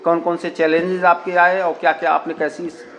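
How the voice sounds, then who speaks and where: A man's voice: on the high side, level tone, fairly quick, fairly bright tone. A man giving explanatory interview answers, repeating himself and mixing in English words. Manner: repetitive, peppers Hindi with English words and filler phrases. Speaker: community radio station representative being interviewed.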